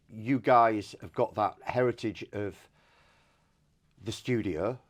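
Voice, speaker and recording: A man working as an interviewer, putting questions in a casual, conversational way. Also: mid-range voice.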